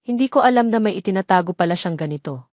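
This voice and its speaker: An anxious young woman, acted by a woman narrator, bewildered and questioning in an audio drama. Her manner is hushed. The recording is dry and close. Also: mid-range voice, lively intonation, quick pace, dry recording, close to an everyday voice. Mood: hushed wonder.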